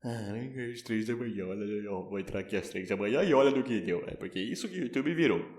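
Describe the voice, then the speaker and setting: A man voicing a blunt, laid-back male cartoon character, talking in a casual, slangy, deadpan way. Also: on the low side, fast, recorded from a distance, lightly acted. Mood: resigned. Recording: roomy, off-mic, music underneath.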